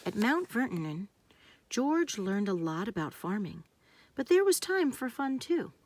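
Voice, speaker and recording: A woman narrating a children's storybook, reading aloud in simple, deliberate phrases meant for young listeners. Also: medium pitch, big pitch swings, a little mellow, a little hiss or hum.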